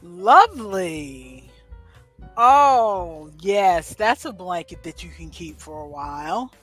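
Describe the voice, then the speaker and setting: A woman's voice: on the low side, animated, takes it slowly, a bit bright, soft background music. A woman chatting casually as co-host of a livestream. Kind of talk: casual chat.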